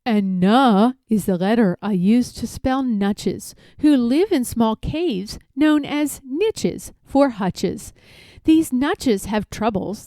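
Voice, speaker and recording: A woman's voice: fairly high pitch, mellow, dry recording, studio quality. A woman reading a rhyming picture book aloud expressively, drawing out key words for emphasis. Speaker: read-aloud storyteller.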